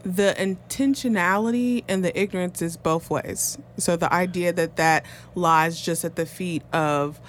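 A man, a councilman, giving an earnest, measured answer on a panel. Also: sits high, fairly even intonation, fairly bright tone, a little hiss or hum. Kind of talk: panel discussion.

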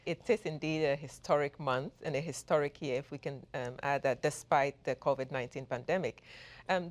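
Deep-voiced woman from ghana, calm thoughtful answers in an interview. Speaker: professor, guest. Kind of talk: interview conversation.

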